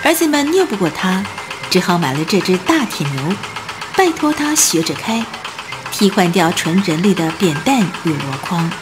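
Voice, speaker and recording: A woman narrating a scripted radio drama in an expressive storytelling manner. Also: fairly low pitch, moderate pace, over music.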